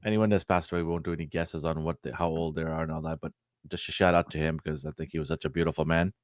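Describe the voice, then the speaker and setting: Brief: low raspy male host, hoarse, jokey banter, dry close mic. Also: steady tone, quick pace, no room sound. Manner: jokey, teasing his co-host. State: hoarse from cheering. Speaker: podcast host. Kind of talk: casual banter.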